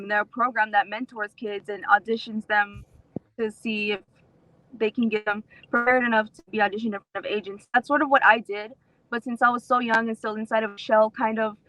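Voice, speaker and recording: A woman's voice: on the high side, level tone, fairly quick, fairly bright tone, no room sound, poor audio. A woman from southern Texas, an interview guest explaining her background in a casual, storytelling way. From Rio Grande Valley, Texas, USA. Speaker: interview guest, author and actor.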